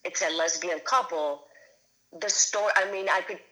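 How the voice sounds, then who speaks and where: A woman's voice: lowish voice, normal speed, bright, forceful tone, rough recording. Hesitant woman in her thirties from Venezuela. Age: 30s. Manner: hesitant, many restarts and fillers. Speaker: guest filmmaker. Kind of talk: casual interview.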